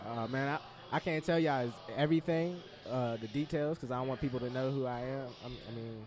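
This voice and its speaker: A man's voice: mid-range voice, brisk. A man chatting casually as a radio show guest, laid-back and slangy, swearing freely. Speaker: guest comedian. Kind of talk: casual chat.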